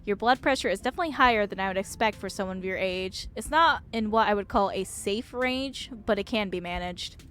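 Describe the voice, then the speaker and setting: A woman's voice: mid-range voice, fairly quick, fairly bright tone, slight background noise, lightly acted. A woman voicing a stoic older female doctor from a visual novel, skeptical, dry and matter-of-fact.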